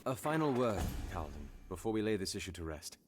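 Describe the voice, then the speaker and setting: An actor playing a measured, earnest male investigator in a video game, formal and tactful. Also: low-pitched, big pitch swings, over music. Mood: concerned, careful. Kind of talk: dramatic video game voice acting.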